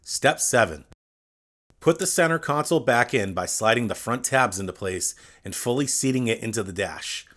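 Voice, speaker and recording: A man reading a scripted step-by-step tutorial, addressing the viewer directly in a clear instructional manner. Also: mid-range voice, fairly bright tone. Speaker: instructional video narrator.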